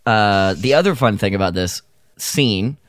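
A man on a podcast panel chatting casually in a halting, wordy way. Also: on the low side, lively intonation, no room sound, studio quality. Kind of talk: casual chat.

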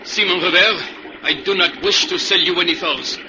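Guy doing a proud trapper, broken english, high voice, muffled old radio show. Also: normal speed, background noise, lightly acted. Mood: stubborn, defiant. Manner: broken English, speaks of himself by name. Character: proud, stubborn male backwoods fur trapper Pierre. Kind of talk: old-time radio drama acting.

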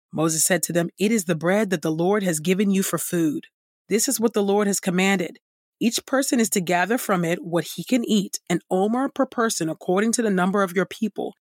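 A woman reading the Bible aloud in a measured, scripted way, with clear and deliberate enunciation. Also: on the low side, no room sound, studio quality. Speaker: scripture reader and podcast host.

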